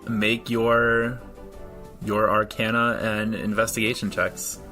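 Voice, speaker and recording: A man narrating a tabletop roleplaying game as game master in an informal, halting, amused way. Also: fairly low pitch, flat delivery, sharp tone, faint music behind.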